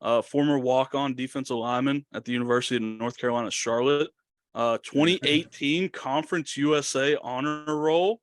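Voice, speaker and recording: A man's voice: medium pitch, brisk. A young man co-hosting a podcast, introducing his guest in a friendly way with small slips. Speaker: podcast co-host. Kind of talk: casual chat.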